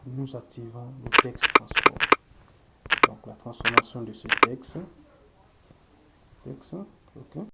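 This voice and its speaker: A French-speaking man teaching web coding, thinking aloud as he types, often checking in with a quick "ok" to his viewers. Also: medium pitch, flat delivery, gravelly. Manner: slow, thinking aloud while typing, frequent "ok", addresses viewers. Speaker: web development instructor. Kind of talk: screen-recorded coding tutorial. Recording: loud background noise.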